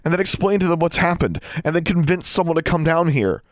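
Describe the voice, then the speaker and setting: A man's voice: a little high, expressive, a little fast, no room sound, voice pushed up, close to an everyday voice. A man voicing a determined, urgent young girl heroine in an audiobook, earnest and insistent. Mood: urgent, agitated. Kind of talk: audiobook character voice.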